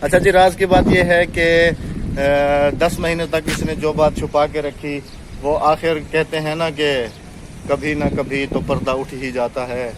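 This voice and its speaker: A man's voice: fairly high pitch, level tone, unhurried, background noise. A man with a Pakistani Urdu accent vlogging from a rooftop, chatting to his viewers and teasing his wife. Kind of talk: casual vlog narration. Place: rooftop outdoors.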